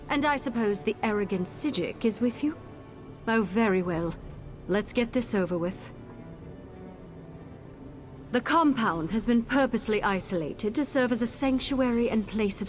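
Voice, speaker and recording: An actress playing a haughty, impatient scholar mage in a video game, curt, formal and condescending. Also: female voice, a little high. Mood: formal, instructive. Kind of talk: video game character dialogue. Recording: game music behind.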